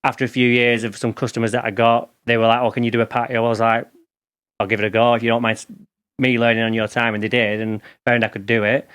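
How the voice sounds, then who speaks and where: A man's voice: mid-range voice, little pitch movement, fast talker, fairly bright tone, clear, resonant tone. A man in his forties with a Lancashire accent, a podcast guest telling candid, rambling stories about his working life. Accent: Lancashire English. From England. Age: 40s. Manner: rambling, candid storytelling with restarts. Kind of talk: casual interview chat.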